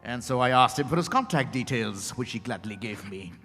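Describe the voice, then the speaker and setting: Casting: man playing a storyteller, measured and dramatic, echoing hall with music underneath. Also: medium pitch, animated, a bit bright. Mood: reflective. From India. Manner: measured, theatrical, short weighted phrases. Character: reflective storyteller recounting his own encounter. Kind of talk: dramatized first-person story narration. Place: event hall at a hotel. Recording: echoey hall with music behind.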